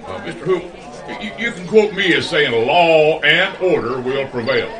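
A man's voice: on the high side, expressive, normal speed, far from the mic, music in the background, a crowd in the background, close to an everyday voice. A man acting in radio theater as a self-important small-town police chief, officious and folksy. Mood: reassuring, pompous.

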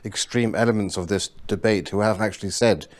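Man, studio panel, low voice, rapid delivery, indignant. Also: steady tone. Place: small studio set with armchairs.

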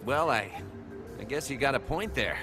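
An actor playing a cocky, irritable young assassin in a video game, brash, slangy and sarcastic. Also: male voice, mid-range voice, animated, normal speed, music in the background, lightly acted. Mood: grudging, annoyed. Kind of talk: animated video game acting.

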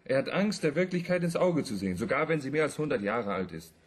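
A brash young man in a radio drama, impatient and sneering. His voice is husky and jumps around in pitch as he talks bluntly. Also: sing-song, fast. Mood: scornful. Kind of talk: German radio drama acting.

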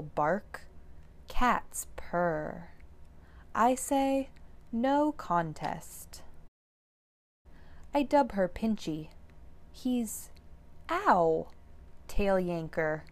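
A woman voicing an aloof, proud male shelter cat in a storybook read-aloud, with dry, slow, drawn-out lines. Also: mid-range voice.

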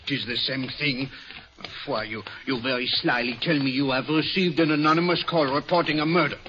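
An actor playing a fussy, shrewd Belgian detective with a French accent, speaking precisely and dropping French words, in a 1940s radio drama. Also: male voice, mid-range voice, fairly even intonation, fairly quick, pushed and bright, close to an everyday voice. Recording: muffled old radio broadcast.

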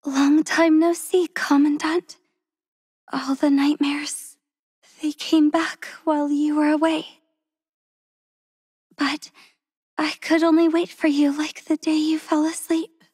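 Soft, tender game heroine, young and devoted, high-pitched, slow and breathy, dry studio sound. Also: female voice, little pitch movement, no room sound. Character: gentle devoted young woman healer, soft-spoken and tender. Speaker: voice actress for a game character. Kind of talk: game character voice lines.

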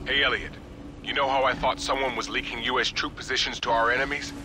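An actor playing a serious, determined private military contractor in an action game, speaking in an earnest, businesslike military way. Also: male voice, steady tone, fast talker, natural voice. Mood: grave. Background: loud music, gunfire, vehicle rumble.

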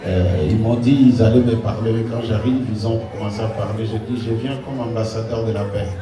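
A man, a gospel singer on stage, slowly addressing and apologizing to a concert audience. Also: fairly low pitch, fairly even intonation, over loud music. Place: large concert hall stage. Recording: echoey concert hall from far off, band and crowd.

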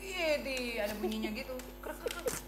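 A woman's voice: high-pitched, lively intonation, fairly quick, over music. A woman hosting a casual, playful chat in a TV studio. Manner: playful, teasing. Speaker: talk show host. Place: TV studio set.

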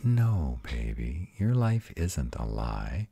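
A man playing a proud but tender vampire lover in an intimate comfort roleplay, speaking in a soothing, reassuring way with a breathy, husky voice. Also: rumbling low, sing-song, slow, warm tone, no room sound, full character voice. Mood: gentle, solemn. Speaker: voice actor in a roleplay audio.

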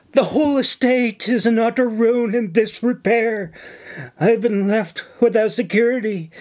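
A man's voice: sits high, darkish tone, voice pushed up. A man voicing a scheming woman in an audiobook, plaintive and melodramatic as she pleads. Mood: pleading. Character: scheming female dragon keeper, tearful, pleading, sweetly flattering. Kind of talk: audiobook character voice.

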